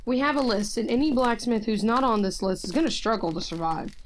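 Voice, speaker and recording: A woman's voice: a little high, animated, fairly quick, a little hiss or hum, lightly acted. Woman playing a dry, blunt fantasy warrior. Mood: reassuring, wry. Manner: matter-of-fact, dry. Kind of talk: audio drama acting.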